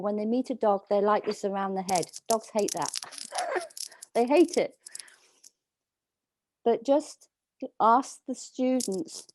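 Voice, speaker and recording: A woman dog trainer giving slow, soothing instructions over a video call, with a calming voice. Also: fairly high pitch, no echo. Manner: soft, slow, soothing coaching. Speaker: dog trainer leading a workshop.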